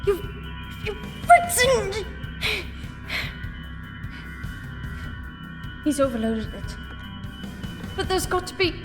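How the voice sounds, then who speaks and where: An actress playing an earnest, loyal, plain-spoken fleet recruit in a science fiction audio drama. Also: female voice, extremely high pitch, big pitch swings, measured pace, full character voice. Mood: desperate, pleading. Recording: long hall reverb, music behind.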